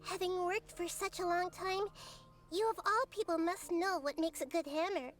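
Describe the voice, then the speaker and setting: Game casting: a soft-spoken young girl deity, very high, patient, with game music underneath. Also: fairly even intonation, moderate pace. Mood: gentle. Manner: soft, thoughtful, patient counselling. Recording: game audio with music behind.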